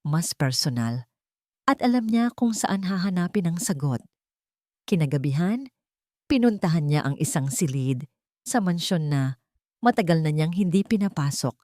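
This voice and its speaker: A woman narrating an audio drama in a measured, dramatic storytelling manner. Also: lowish voice, a little mellow, no echo, clean studio sound.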